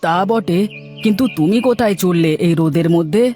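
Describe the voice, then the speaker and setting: A man voicing a gentle, earnest young village farmer in a cartoon folk tale. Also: high-pitched, a little fast, soft background music, lightly acted. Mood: friendly, earnest.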